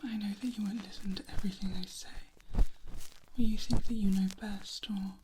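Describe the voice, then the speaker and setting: A woman playing a protective, world-weary vampire in a soft, breathy whisper, in an ASMR roleplay. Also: medium pitch, steady tone, background noise, close to an everyday voice. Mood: gently warning. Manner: slow, gentle, admonishing. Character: protective, world-weary female vampire tending a loved one.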